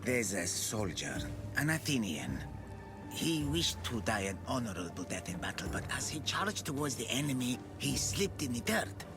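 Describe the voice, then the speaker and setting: A man's voice: mid-range voice, very animated, normal speed. An actor playing a world-weary, sardonic ferryman of the dead in a game, with a dry storytelling manner. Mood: somber, storytelling. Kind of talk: video game cutscene acting. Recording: game music behind voice.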